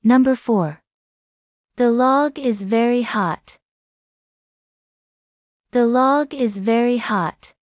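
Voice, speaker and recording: A woman narrating an English listening test, reading each item slowly and deliberately twice. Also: a little high, dry recording. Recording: dry, close studio sound.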